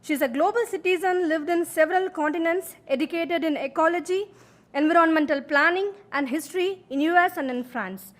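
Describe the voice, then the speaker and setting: A woman's voice: very high voice, brisk. A woman hosting the event, formally and respectfully introducing the guest speaker to a lecture hall.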